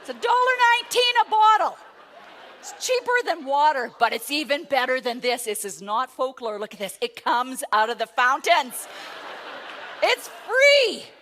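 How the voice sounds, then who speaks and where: A middle-aged woman, a motivational speaker, telling a self-mocking story to a live audience, rising to loud exclamations. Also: high voice, very animated, normal speed, a little hiss or hum. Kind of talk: storytelling keynote talk.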